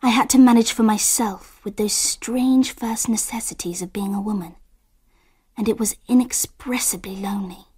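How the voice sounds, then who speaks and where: An actress playing a sensitive girl on the edge of womanhood in a radio drama, with hushed, intimate, wistful delivery. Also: medium pitch, slightly rough. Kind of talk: radio drama, first-person narration.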